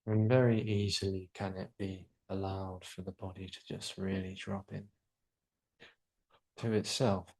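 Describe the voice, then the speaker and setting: Male yoga nidra guide, lowish pitch, very flat intonation, soothing and unhurried delivery. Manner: soothing, unhurried, pausing between short phrases. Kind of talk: guided relaxation meditation.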